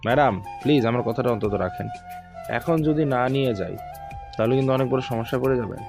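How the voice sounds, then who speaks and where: A man's voice: mid-range voice, quick pace, gravelly, close to an everyday voice. A man playing a concerned, reproachful character who protests and pleads in an audio story. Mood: worried, pleading. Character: concerned, protesting men: boss's father and office manager. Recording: music under the voice.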